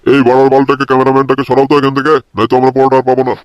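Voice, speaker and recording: A man's voice: medium pitch, monotone, very fast, smooth, dry recording, lightly acted. A man voicing an impatient, foul-mouthed queue customer in a comedy cartoon. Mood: angry. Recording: dull lo-fi dry voice track.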